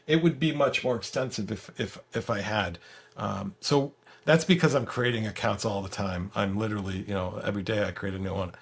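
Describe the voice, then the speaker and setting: A man with a gravelly, husky voice giving a casual software walkthrough as the guest, halting and repeating words as he explains. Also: fairly low pitch. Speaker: software creator giving a product demo as guest. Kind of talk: casual screen-share walkthrough.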